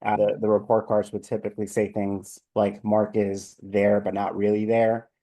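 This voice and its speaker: Reflective low-voiced man from New York. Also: completely flat delivery, moderate pace, mellow. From New York City. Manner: open, reflective, with frequent restarts. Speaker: life coach, guest. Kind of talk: conversational storytelling.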